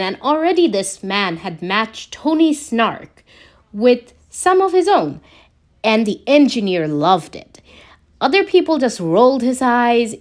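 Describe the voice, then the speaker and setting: A woman reading a scripted piece of fan fiction aloud as a storyteller. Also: fairly high pitch. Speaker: podfic narrator. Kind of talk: scripted fan fiction narration, read slowly.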